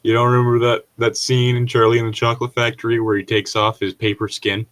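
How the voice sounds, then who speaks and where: A man's voice: medium pitch, monotone, quick pace, a bit bright. A man co-hosting a casual read-along, reading stories aloud and breaking off into rambling, joking commentary. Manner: rambling, jokey, chuckles at own remarks.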